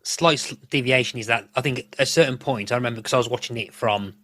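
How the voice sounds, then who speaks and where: A man's voice: medium pitch, fast, a bit bright. A man chatting casually as a podcast guest, explaining things in a rambling way. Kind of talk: casual chat.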